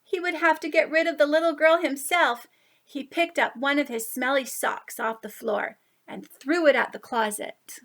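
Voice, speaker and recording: A woman reading a picture book aloud to young children, expressive, with drawn-out emphasis on key words. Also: high-pitched. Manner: animated, drawn-out emphasis, asides to listeners. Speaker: children's story reader.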